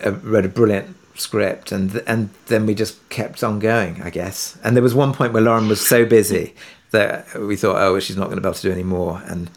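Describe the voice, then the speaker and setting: A man speaking conversationally as an interviewed producer, halting and self-effacing, often rephrasing as he goes. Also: on the low side, steady tone. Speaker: audio drama writer and producer being interviewed.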